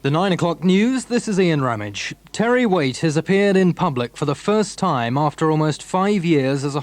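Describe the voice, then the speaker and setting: Male bulletin reader, fairly high pitch, dry studio mic. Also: a little mellow, no room sound. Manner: crisp, brisk news reading. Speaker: newsreader. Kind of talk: news bulletin.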